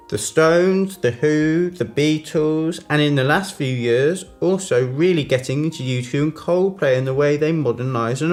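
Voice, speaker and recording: A man reading aloud as a host in a mock bedtime-story style, with a deliberate, theatrical storytelling delivery. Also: mid-range voice.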